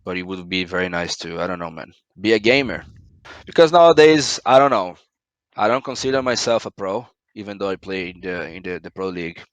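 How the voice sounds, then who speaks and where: Brazilian male gamer, very low voice, dry home recording, thoughtful and halting. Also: expressive, no echo. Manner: thoughtful, searching for words, many fillers. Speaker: pro esports player guest. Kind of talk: casual interview over voice chat.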